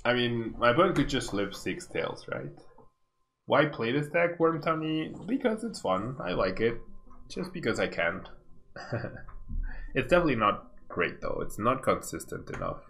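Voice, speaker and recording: A man's voice: mid-range voice, sing-song, moderate pace, vocal fry, a bit bright. A man of thirty-one doing casual, slangy livestream game commentary, laid-back and often repeating his phrases. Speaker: game streamer.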